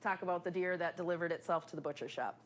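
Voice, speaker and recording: A woman's voice: fairly low pitch, fairly even intonation, quick pace, noisy background. A woman, a shop owner, giving casual answers in a news interview.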